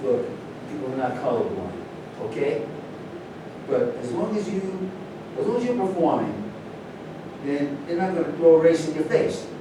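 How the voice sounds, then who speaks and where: A man's voice: very animated, normal speed, pauses often. A man at a staged reading, playing a defensive, pragmatic golf star. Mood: matter-of-fact. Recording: echoey room from far off, rumbling noise.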